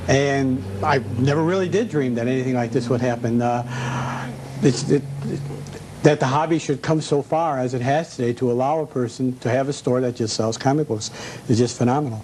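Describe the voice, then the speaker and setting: Male shop owner, middle-aged, dark relaxed tone, informal interview answers, faint ambient noise in a store. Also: mid-range voice, moderate pace. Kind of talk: casual interview. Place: inside a comic book store.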